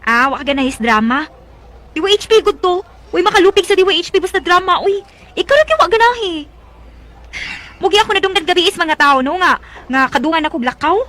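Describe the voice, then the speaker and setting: High-voiced gossipy Bisaya young woman. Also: animated, a little fast, a bit bright. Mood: lively, teasing. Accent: Cebuano Bisaya, Philippines. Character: gossipy, teasing young female friend with strong opinions. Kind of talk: Bisaya radio drama acting.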